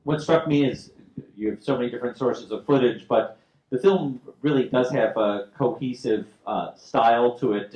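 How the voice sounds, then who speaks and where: A man moderates a film Q&A, asking measured questions with the odd filler and a cleared throat. His pitch is middling for a man, and the room adds a noticeable echo. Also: a little mellow, highs cut off. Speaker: host, moderator. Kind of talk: post-screening Q&A moderation. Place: theater stage after a screening. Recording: roomy theater sound.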